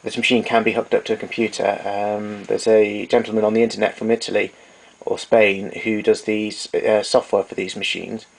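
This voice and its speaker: A man's voice: on the low side, little pitch movement, moderate pace, creaky. A man giving an informal technical demonstration, explaining things in a matter-of-fact, slightly hesitant way. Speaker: tape recorder repairer giving a demonstration. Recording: noisy amateur recording with clicks.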